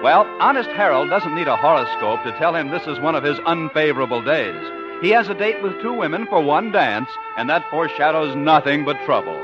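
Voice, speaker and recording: Slightly high-voiced 1950s radio announcer man. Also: lively intonation, fairly quick, few pauses. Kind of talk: 1950s radio scripted narration. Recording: muffled vintage radio recording with music.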